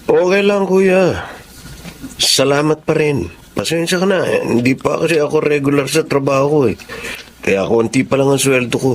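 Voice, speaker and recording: An actor as a humble young Filipino brother in a radio drama. His voice is a bit high, apologetic and grateful, over clear background noise. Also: moderate pace, close to an everyday voice. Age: young adult. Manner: apologetic, deferential.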